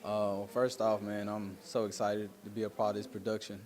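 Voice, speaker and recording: A man giving casual interview answers in a halting, earnest, conversational way. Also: on the low side, level tone, darkish tone, slight background noise. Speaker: stage actor being interviewed.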